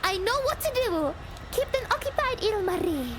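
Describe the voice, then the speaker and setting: A woman's voice: very high-pitched, sing-song, normal speed, very noisy. A woman voicing a frightened young village guardian girl in a visual novel. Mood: urgent resolve.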